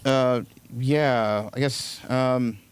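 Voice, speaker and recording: A male guest in a casual interview with a clean, smooth voice of average pitch. He answers tentatively, often pausing before he commits to a phrase. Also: steady tone, faint music behind. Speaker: guest filmmaker and actor. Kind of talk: casual chat.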